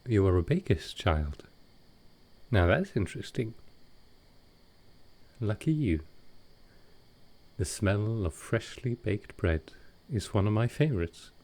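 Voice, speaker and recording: A man playing a gentle, wistful ancient vampire in an intimate audio roleplay, soft-spoken and hesitant with halting pauses. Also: on the low side, animated. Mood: gently teasing.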